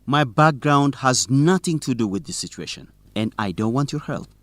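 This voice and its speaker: Guy acting a stubborn young student reporter, radio drama, really animated pitch, dry sound. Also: moderate pace, no room sound, lightly acted. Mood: firm, defiant. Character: principled young male student journalist, stubborn and cheerful.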